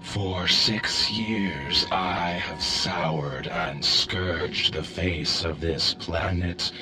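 A man voicing a slow, cold alien conqueror. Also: low voice. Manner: slow, grave and solemn. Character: cold, weary alien conqueror from deep space. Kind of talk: storybook reading.

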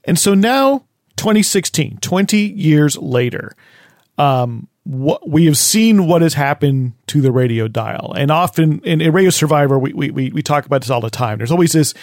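A man hosting an interview, framing long, thoughtful questions with pauses and fillers. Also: a little high, lively intonation, a little mellow, no room sound, clean studio sound. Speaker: host.

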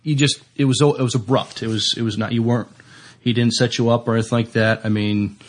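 A man co-hosting a podcast, talking casually about TV in halting, broken phrases. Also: mid-range voice, fairly even intonation. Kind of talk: casual TV discussion.

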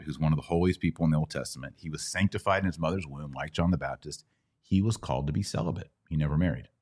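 Very deep-voiced American man teaching conversationally. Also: a little mellow. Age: middle-aged. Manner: didactic, prompts with questions and rhetorical checks. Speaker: podcast host and Bible teacher. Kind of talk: conversational live Bible Q&A teaching.

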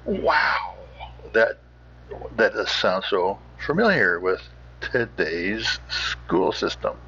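A low, gravelly American man hosts in a casual, folksy manner. He rambles haltingly through his opinions, with a forceful edge, on a slightly muffled recording. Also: sing-song. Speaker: host.

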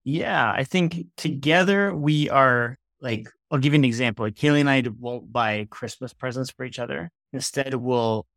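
A man's voice: medium pitch, moderate pace, creaky, hardly any pauses, fairly bright tone, no echo. A man in his thirties, a real estate investor, talking in a loose, rambling conversational way as an interview guest. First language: Hungarian. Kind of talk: casual interview chat.

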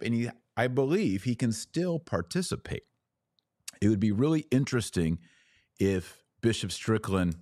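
Deep-voiced American man, dry close recording. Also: darkish tone, dry recording. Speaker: podcast host and commentator. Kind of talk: opinionated church news commentary.

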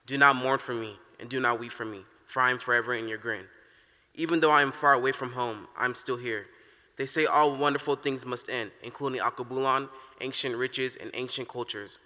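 A man's voice: medium pitch, steady tone, fairly quick, bright, forceful tone. A man performing a spoken word poem on a live stage, impassioned and mournful. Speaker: slam poet. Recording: reverberant hall.

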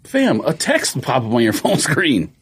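A man co-hosting a sneaker podcast, chatting casually, laughing, teasing and firing back quick retorts. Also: medium pitch, wildly swooping pitch, breathy edge. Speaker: podcast co-host. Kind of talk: casual chat.

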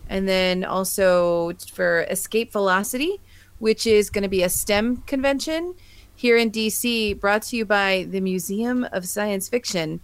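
A woman's voice: medium pitch, measured pace, clear, resonant tone. An American woman co-hosting a podcast in casual chat, speaking in an enthusiastic, gushing way. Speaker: podcast co-host. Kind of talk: casual chat about sci-fi and comics.

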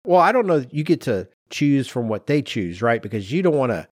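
A man's voice: mid-range voice, very animated, fairly quick, dry recording, studio quality. A man co-hosting a podcast in casual chat, rambling through hypotheticals and acting out imagined bits of dialogue. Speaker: podcast co-host.

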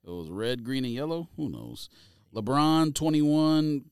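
Clear-voiced man with very animated intonation. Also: mid-range voice, unhurried, dry recording, clean studio sound. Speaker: sneaker podcast co-host. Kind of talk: casual banter, reading out a list with comments.

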